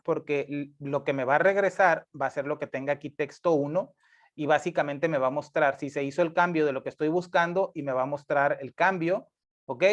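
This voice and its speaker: A male instructor, explaining step by step, patiently repeating points and correcting himself with frequent tag questions. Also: fairly high pitch. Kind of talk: screencast coding lesson. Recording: dull lo-fi mic.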